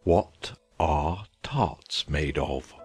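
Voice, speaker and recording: A man voicing a pompous, fretful old king who speaks slowly and gravely. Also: rumbling low, soft background music, pitched below the performer's own voice, full character voice. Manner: slow, grave pronouncements.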